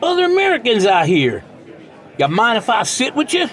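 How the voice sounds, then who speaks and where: Male actor as folksy country singer, Southern drawl, very animated delivery, boastful, radio drama, bustling crowd behind. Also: very high-pitched, moderate pace, heavily acted. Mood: friendly. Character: brash, folksy American country band leader, cowboy.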